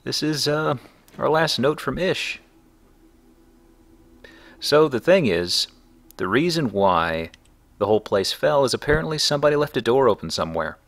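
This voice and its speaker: A man's voice: medium pitch, lively intonation, moderate pace, pauses often, fairly bright tone. A man hosting a let's play, giving casual, conversational game commentary with wry, jokey asides.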